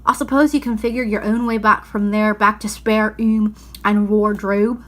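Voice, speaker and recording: A woman's voice: mid-range voice, fairly even intonation, normal speed, fairly bright tone, lightly acted. A woman voicing an anxious, remorseful male faun from a storybook, pleading and confessing dramatically. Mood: resolved, urgent.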